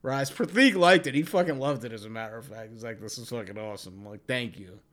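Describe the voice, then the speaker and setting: A man's voice: mid-range voice, quick pace, a bit bright. A man in his forties hosting a comedy podcast, chatting casually in a profane, rambling way. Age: 40s. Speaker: podcast host.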